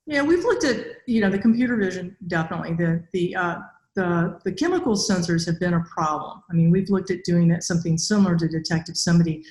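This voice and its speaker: A woman's voice: on the low side, quick pace, darkish tone. Echoey woman hosting an interview. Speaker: podcast host, interviewer. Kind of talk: conversational interview. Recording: echoey room, mic at a distance.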